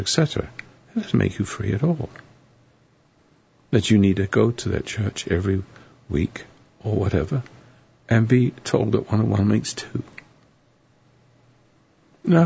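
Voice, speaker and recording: A hoarse man hosting a live call-in radio show, rambling and preachy with a sardonic edge. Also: on the low side, darkish tone. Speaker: radio talk show host. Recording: dull lo-fi radio broadcast.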